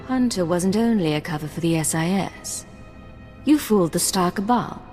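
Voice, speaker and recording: An actress playing a composed, steely secret agent in a sci-fi game, with a controlled, terse delivery. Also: female voice, on the low side, expressive, moderate pace, a little mellow, soft background music, natural voice. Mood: sympathetic. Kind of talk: scripted sci-fi game acting.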